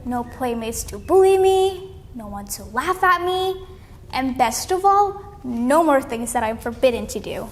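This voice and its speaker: A dreamy young storybook boy, played by a woman, speaks in a very high, eager lilt. He sounds sensitive and childlike, with a long reverb around the voice. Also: animated, a little slow. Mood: wistful excitement.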